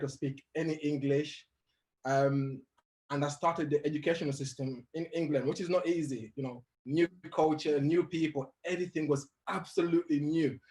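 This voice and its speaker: A young adult man who grew up in Uganda, telling his life story as a guest in an interview, animated and laughing as he goes. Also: a little high, poor audio. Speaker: guest motivational speaker.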